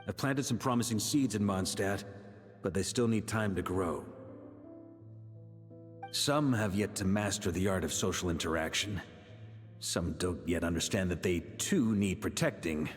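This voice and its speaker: A seasoned knight commander, speaking low with calm, earnest authority. It is measured video game acting, washed in long reverb with faint music behind. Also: male voice. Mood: solemn, reassuring.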